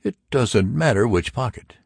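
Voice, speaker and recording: A man's voice: on the low side, expressive, moderate pace, creaky, a little mellow, slightly rough, no room sound, clean studio sound, voice pushed up, heavily acted. A man voicing a skeptical, challenging purist in audiobook dialogue. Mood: impatient, challenging. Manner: pointed, challenging.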